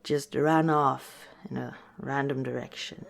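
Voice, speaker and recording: A woman voicing a gentle, wistful female orc tavern owner in a soft-spoken, hesitant ASMR roleplay. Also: extremely low pitch, steady tone, a little slow, gravelly, heavily acted. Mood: confiding.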